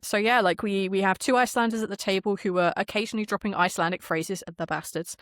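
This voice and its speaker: Fast-talking, chatty woman telling stories. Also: mid-range voice, fairly even intonation, a bit bright, dry recording, clean studio sound. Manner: chatty, emphatic, swears casually. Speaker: podcast guest, game master and audio drama creator. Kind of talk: animated casual interview storytelling.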